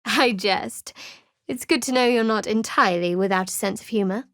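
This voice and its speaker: An actress playing a guarded, wry young half-elf cleric in a fantasy video game, speaking in a measured, dry, slightly haughty way. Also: female voice, mid-range voice, no room sound, close to an everyday voice. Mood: wry, cutting. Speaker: video game character voice actor. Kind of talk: scripted fantasy game dialogue.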